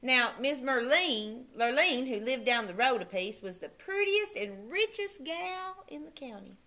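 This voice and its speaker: A woman's voice: high voice, measured pace. A woman librarian reading a folksy Western picture book aloud to children. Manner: animated, folksy storytelling. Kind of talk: storybook read-aloud.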